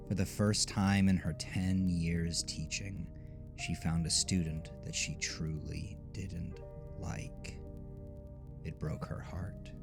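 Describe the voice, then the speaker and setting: A man narrating a scripted horror audio drama in a deliberate, dramatic storytelling manner. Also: deep voice. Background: music.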